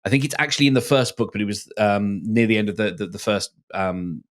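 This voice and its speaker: A man with a slightly deep voice talks as a podcast co-host. His delivery is casual and reflective, often halting as he gathers his thoughts before carrying on with the discussion. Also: fairly even intonation. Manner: hesitant, reflective.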